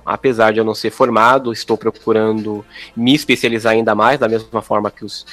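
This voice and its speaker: A Brazilian man talking casually as a guest on a podcast. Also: medium pitch. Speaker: podcast guest, audio description consultant. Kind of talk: casual conversation.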